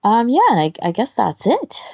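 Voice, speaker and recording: A woman's voice: a little high, very animated, normal speed, gravelly, a little mellow, slightly rough, no echo, muffled recording. A woman in casual, informal chat as a podcast co-host, rambling and hesitant as she gives recommendations, often trailing off. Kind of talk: casual chat, recommending fan fiction audio.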